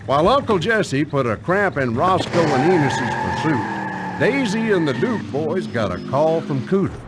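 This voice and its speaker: Folksy, wry Southern storyteller, expressive delivery over clear background noise. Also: male voice, a little high, moderate pace. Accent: American Southern. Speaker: narrator. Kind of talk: folksy scripted narration.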